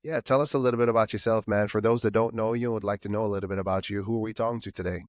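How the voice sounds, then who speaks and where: A man hosting a faith interview show, asking quick, friendly questions with fillers. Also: lowish voice, fairly even intonation, no echo, studio quality. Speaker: host. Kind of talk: casual interview over video call.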